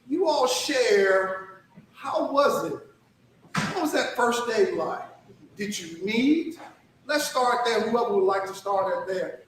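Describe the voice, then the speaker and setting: A man's voice: very high voice, fairly bright tone, a little hiss or hum. Man, podcast host, upbeat and emphatic, distant mic, very echoey room. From Mississippi. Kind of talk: hosting a panel interview.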